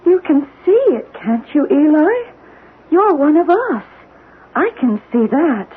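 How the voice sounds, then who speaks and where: A woman's voice: high-pitched, big pitch swings, slow pace, exaggerated voice. An actress playing an intense, fatalistic woman scientist in a 1970s radio drama, urgent and foreboding. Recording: narrow-band, hissy 1970s radio recording.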